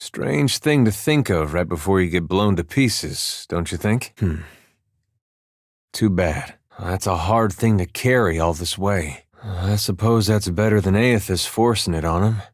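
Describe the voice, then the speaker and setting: A laid-back, wry farmer adventurer from a fantasy video game. His voice sits fairly low and he talks in a folksy drawl with dry humor. The recording is very dry, with no room sound. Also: unhurried, dry recording. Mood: reflective, troubled. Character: easygoing, wry farmer and war veteran adventurer, male. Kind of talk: video game character dialogue.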